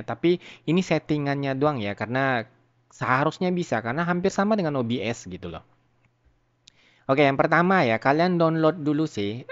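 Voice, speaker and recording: Male YouTuber, medium pitch, informal tutorial delivery, chatty and slangy, talking straight to viewers. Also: lo-fi sound. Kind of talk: casual software tutorial.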